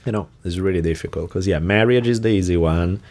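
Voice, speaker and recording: A man's voice: sits low, fast talker, darkish tone. A man with an Italian accent hosting a podcast, telling a story in a casual, laughing way. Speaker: podcast host.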